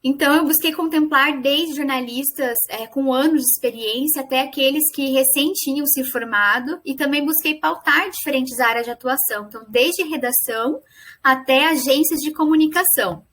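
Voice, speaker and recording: High-pitched young Brazilian woman presenting. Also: normal speed, fairly bright tone. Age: young adult. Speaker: student presenting a final project. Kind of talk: academic presentation.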